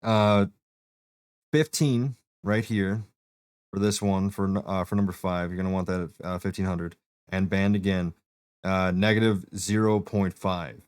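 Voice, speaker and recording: A man with a deep voice talks a friend through settings in a relaxed, plain way, with plenty of fillers. His tone sits low. The sound is dry, with no room around it. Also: a little slow, smooth, no room sound. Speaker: streamer giving setup help. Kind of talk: casual walkthrough.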